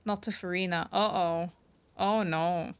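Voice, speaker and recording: A woman's voice: fairly low pitch, fairly even intonation, a little slow, slight background noise. A woman streaming a game, giving casual live reactions in short, dismayed interjections.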